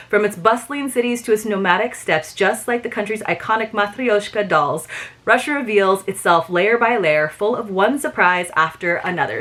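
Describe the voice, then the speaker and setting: A woman's voice: mid-range voice, a bit bright. Chatty woman unboxing a box. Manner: chatty, many "like" and "you guys" fillers; enthusiastic. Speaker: subscription box reviewer. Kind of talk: casual unboxing vlog, reading booklet aloud.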